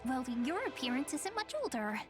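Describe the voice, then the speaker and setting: An actress voicing a friendly young female guide in a video game, explaining things in a gentle, polite way. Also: high-pitched, very animated, moderate pace, music in the background. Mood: chatty, informative. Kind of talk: video game drama acting.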